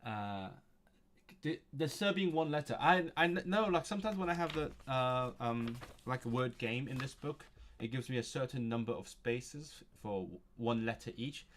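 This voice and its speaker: A man's voice: mid-range voice, animated, normal speed, fairly bright tone. A man streaming a language study session, reading from a textbook and thinking aloud with frequent chuckles. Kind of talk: casual livestream, reading a textbook aloud and commenting.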